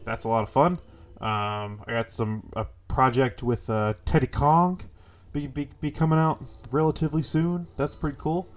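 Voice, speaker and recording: A man's voice: medium pitch, steady tone, normal speed, soft background music. A man with a stuffy, congested voice giving casual, rambling impressions of a game as host of his gaming channel, full of fillers and the odd swear. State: congested from a cold.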